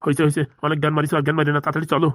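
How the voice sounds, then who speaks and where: Woman doing a grumpy old boozer thug, lowish voice, talks super fast, dry sound. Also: monotone, dry recording, natural voice. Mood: scolding. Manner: gruff, scolding. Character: grey-haired old male thug, hard-drinking, bossy. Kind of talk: cartoon voice acting.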